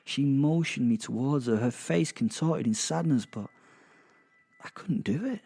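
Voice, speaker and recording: A remorseless werewolf, played by a man, narrates a dark audio drama in the first person. The voice is dark and mellow, wry and cocky, musing deliberately over faint music. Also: mid-range voice, gravelly, breathy edge. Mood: brooding. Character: remorseless male werewolf killer, wry, cocky, centuries old. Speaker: narrator and protagonist.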